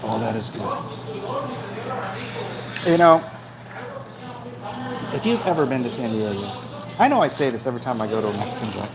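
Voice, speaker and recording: A man's voice: medium pitch, sing-song, normal speed, creaky, pauses often, rough recording. A man chatting casually to a livestream while he eats, talking between bites with savoring hums. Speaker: livestream host. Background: music.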